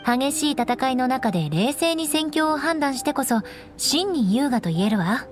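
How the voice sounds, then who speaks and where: A woman's voice: high-pitched, sing-song, moderate pace, faint music behind. A young woman acting a proud, composed noblewoman knight in an anime game, strict but caring, with elegant, instructing delivery. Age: young adult. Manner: poised, elegant, haughty, instructing.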